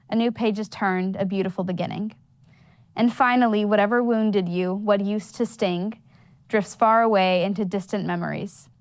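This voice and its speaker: A woman's voice: mid-range voice, dry recording. Woman reciting poetry, very dry sound. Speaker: student poet.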